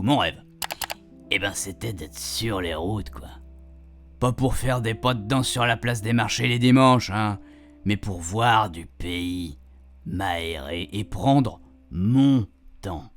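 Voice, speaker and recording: A man's voice: lowish voice, a bit bright. Man acting chatty cheeky old grandpa, music behind. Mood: fond, dreamy. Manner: rambling, colloquial, repeatedly checking his puns landed. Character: chatty retired grandpa, cheeky, self-amused, homespun storyteller. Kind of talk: radio fiction monologue.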